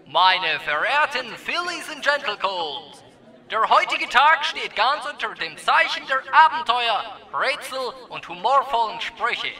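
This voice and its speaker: A woman's voice: a little high, very animated, measured pace, pushed and bright, some chatter behind, full character voice, poor audio. A woman playing a formal pony announcer who projects ceremoniously to an audience in an audio drama. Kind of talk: fan audio drama acting.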